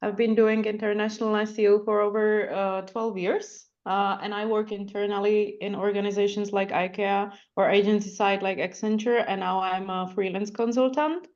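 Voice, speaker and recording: Woman from czechia, guest on a webinar, relaxed, muffled call audio. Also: medium pitch, moderate pace, few pauses. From Czech Republic. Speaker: guest consultant. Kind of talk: self-introduction on a webinar.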